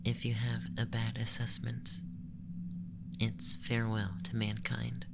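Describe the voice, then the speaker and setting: Over clear background noise comes a haughty femboy alien abductor, acted by a man, in a soft ASMR roleplay. The delivery is level and crackly, slipping into breathy whispers. The alien sounds clinical, formal and condescending, assessing humans in measured phrases. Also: medium pitch, monotone. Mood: calm, clinical.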